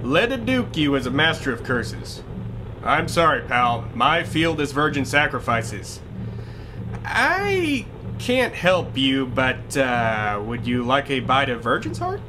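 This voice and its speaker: A man's voice: medium pitch, wildly swooping pitch, slow, background noise. A man playing a callous, slangy wise-guy cultist in a campy audio drama, flippant in manner. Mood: apologetic, offhand. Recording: loud music behind.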